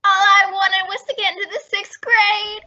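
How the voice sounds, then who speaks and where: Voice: very high-pitched, level tone, a little slow, pushed and bright, full character voice. A female performer playing a whiny, frightened nine-year-old girl in an old-time radio family comedy. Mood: woeful. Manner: whining. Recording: dull, very noisy recording.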